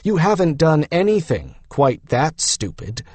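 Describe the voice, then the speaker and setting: A man's voice: fairly high pitch, very animated, a little slow, darkish tone, no room sound. A man playing an easygoing, frank young man in an audiobook, talking in a casual, encouraging manner. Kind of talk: audiobook character voice.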